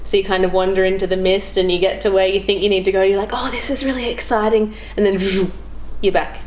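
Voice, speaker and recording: A woman's voice: medium pitch, steady tone, fairly quick, a little mellow. A young woman chatting casually about video games as a guest on a show. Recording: clearly noisy background.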